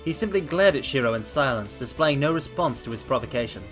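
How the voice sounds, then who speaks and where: Expressive male streamer reading aloud over soft music. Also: mid-range voice, fast. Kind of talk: dramatic read-aloud of a visual novel with casual asides. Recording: faint game music behind voice. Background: game soundtrack.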